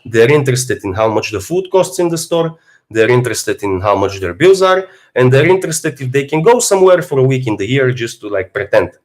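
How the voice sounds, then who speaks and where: A man speaks in a rough, hoarse voice from a cold. He talks bluntly and swears freely, musing aloud in a casual livestream monologue as a fitness streamer. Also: medium pitch, sing-song, brisk.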